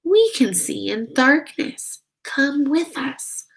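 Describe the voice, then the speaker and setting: A woman voicing a gentle, protective mother bat who speaks softly and half in whispers. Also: sits high, animated, slow, creaky, voice pushed up, full character voice. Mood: reassuring. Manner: soft, hushed.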